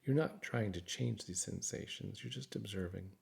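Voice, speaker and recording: Male meditation guide, low-pitched voice with plenty of vocal fry, soothing measured delivery. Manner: calm, soothing, measured with frequent pauses. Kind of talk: guided meditation, gentle scripted narration.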